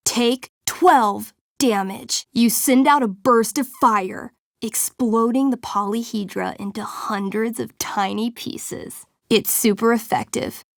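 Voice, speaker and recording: Young female game master, video game character, slow deliberate storytelling, playfully dramatic, suspenseful, dry studio sound. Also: medium pitch, no echo. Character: young woman game master narrating fantasy tabletop adventure, playfully dramatic. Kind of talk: dramatic tabletop role-playing narration.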